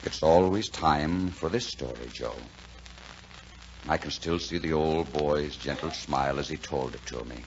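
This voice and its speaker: A man's voice: very deep voice, slight background noise, heavily acted. An actor playing a plain-spoken, reassuring American man telling a Christmas story in 1940s radio drama style. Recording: dull 1940s radio broadcast, music behind.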